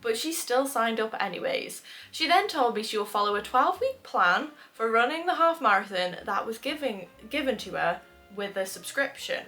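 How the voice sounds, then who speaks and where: A woman's voice: a little high, lively intonation, normal speed, bright, forceful tone, faint music behind. A woman with a nasal voice from a cold, hosting a chatty video, reading posts aloud and laughing often. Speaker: host.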